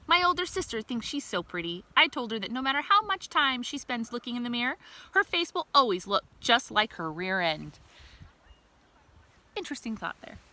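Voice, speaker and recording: A woman's voice: a little high, big pitch swings, moderate pace, bright, forceful tone. A woman reading a children's picture book aloud outdoors like a teacher, adding chatty asides and questions for young listeners. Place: outdoors in a communal space by a river. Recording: noisy outdoor recording.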